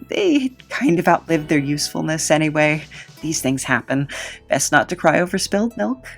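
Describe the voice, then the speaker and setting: A woman playing a sardonic, put-upon reluctant hero in an audio drama, speaking in an offhand, deadpan way. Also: on the low side.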